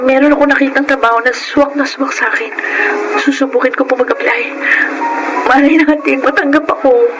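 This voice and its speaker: An actress with a Filipino accent playing a sweet, eager young daughter in a radio drama. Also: female voice, high-pitched, fairly even intonation, brisk, over loud music, lightly acted, poor audio. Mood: subdued, earnest.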